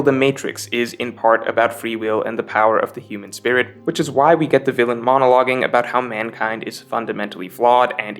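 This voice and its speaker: A man's voice: medium pitch, a bit bright. A man delivering scripted narration for a film analysis video essay as its host, explaining in an articulate way.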